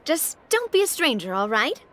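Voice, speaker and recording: A woman's voice: high voice, sing-song, moderate pace, a bit bright, a little hiss or hum. A woman voicing a breezy, sociable young female syndicate boss in an English game dub, playful and friendly. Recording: music behind the voice.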